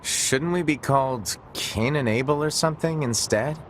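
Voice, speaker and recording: A man's voice: mid-range voice, moderate pace, slight background noise, close to an everyday voice. A man playing a naive, childlike younger-brother android in a video game, plain-spoken and impatient to play. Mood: childlike, restless. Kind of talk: English video game voice acting.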